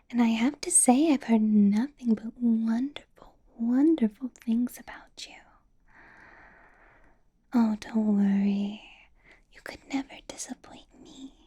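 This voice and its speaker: A woman playing a doting, possessive and sweetly menacing female vampire boss in a whispered ASMR roleplay, with slow, breathy delivery. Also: on the high side. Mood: doting, flattering. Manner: breathy, slow whispering with soft little laughs.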